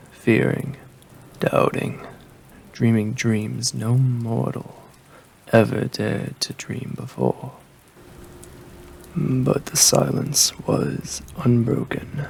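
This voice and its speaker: A man reading a poem as an ASMR creator, with a deep, gravelly voice and a slow, hushed delivery that slips into whispers. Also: slight background noise. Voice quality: deep, gravelly voice with heavy fry. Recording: faint rain ambience behind the voice. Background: rain ambience.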